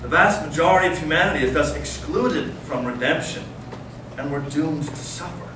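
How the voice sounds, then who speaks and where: A man's voice: a little high, normal speed, natural voice. Echoey, noisy distant recording. Male actor as an anguished, mocking intellectual elder brother, dramatic stage storytelling. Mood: impassioned. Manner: dramatic, swinging from hushed to impassioned. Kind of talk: staged readers theater monologue and storytelling. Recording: echoey stage, distant mic, clear noise.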